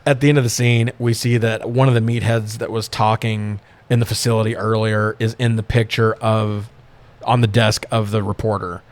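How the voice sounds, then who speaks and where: A man's voice: on the low side, level tone, normal speed. Laughing, profane man recapping a film. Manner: profane, irreverent, often laughing through sentences. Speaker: podcast co-host recapping films. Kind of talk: casual comic film recap chat.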